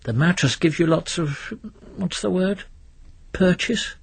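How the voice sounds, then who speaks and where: A man's voice: high-pitched, unhurried, creaky, breathy edge, pitched above the performer's own voice, exaggerated voice. Male reader playing young man, chummy, insinuating, winking tease, audio storytelling. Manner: familiar, teasing, winking.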